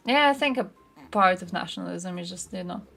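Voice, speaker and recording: Casting: female livestream host, gravelly fry in the voice, informal and sweary, off-the-cuff chat style. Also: on the low side, lively intonation, a little fast, a bit bright. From Croatia. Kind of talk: casual livestream chat, reacting to chat and posts.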